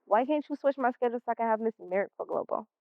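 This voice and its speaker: A woman's voice: on the high side, animated, very fast, phone-quality sound. A woman playing a defiant, exasperated sixteen-year-old American schoolgirl in a stage play, arguing in slangy, defensive speech. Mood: defiant, annoyed.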